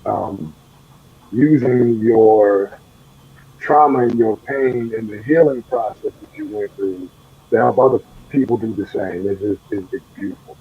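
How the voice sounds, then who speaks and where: A young adult man co-hosting a talk show, speaking earnestly and haltingly with repeated words. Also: on the low side, husky. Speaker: co-host, treasurer of the nonprofit. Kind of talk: casual chat. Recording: dull, muffled lo-fi audio.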